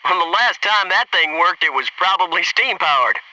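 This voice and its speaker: A man's voice: high-pitched, expressive, normal speed, distant mic, noisy background. A man playing a cocky, wisecracking young American Navy SEAL in a military video game, with punchy, wry delivery. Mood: wry, joking. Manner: punchy, wry, eager banter and callouts.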